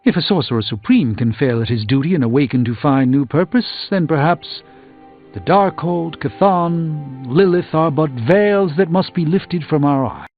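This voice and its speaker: Grave sorcerer, tinny game audio. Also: male voice, fairly high pitch, expressive. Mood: earnest counsel. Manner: formal, measured, philosophical. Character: grave, learned, reassuring adult male sorcerer. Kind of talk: scripted video game character dialogue. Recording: tinny band-limited audio with music.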